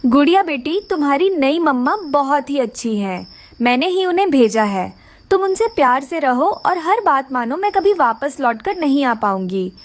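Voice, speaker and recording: A woman's voice: high-pitched, big pitch swings, normal speed. A woman voicing a caring but firm mother bird in a children's cartoon, speaking in an earnest, motherly way. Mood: tender. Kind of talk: Hindi children's cartoon voice acting.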